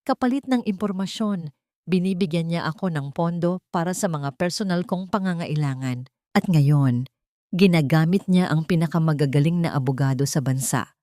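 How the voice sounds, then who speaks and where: A woman's voice: low voice, moderate pace, mellow, no echo, lightly acted. A woman plays a greedy, scheming wealthy wife in an audio drama. She is taunting, defiant and full of venom, threatening whoever crosses her. The sound is dry and close. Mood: smug, scheming. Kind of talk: Tagalog audio drama acting.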